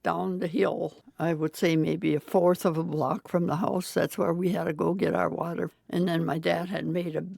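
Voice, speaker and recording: Very gravelly woman in her nineties. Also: on the low side, flat delivery, darkish tone, no room sound, clean studio sound. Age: 90s. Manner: plain, unhurried storytelling. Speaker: interviewee. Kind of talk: recalling farm life in conversation. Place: recording booth.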